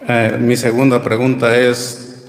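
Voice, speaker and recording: A man's voice: medium pitch, monotone, slow pace, creaky. A man with a Mexican accent asking a question at a religious talk, hesitant and rephrasing as he goes. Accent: Mexican Spanish. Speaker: audience member asking a question. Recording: echoey hall with long reverb.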